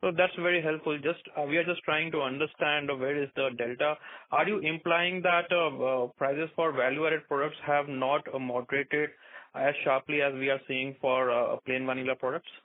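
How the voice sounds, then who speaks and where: A man putting questions as an analyst on an earnings call, in a halting, stammering way. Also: on the high side, fairly even intonation, no echo. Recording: dry, narrow-band phone line.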